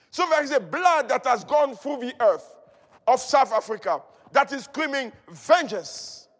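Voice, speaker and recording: A man's voice: very high voice, fairly bright tone. A man preaching a fervent charismatic sermon, emphatic and declamatory, repeating key phrases as he goes. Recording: long hall reverb.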